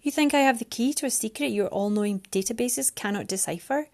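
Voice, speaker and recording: A woman's voice: fairly high pitch, moderate pace, darkish tone, natural voice. A woman voicing a defiant, frightened young woman who speaks with rising heat.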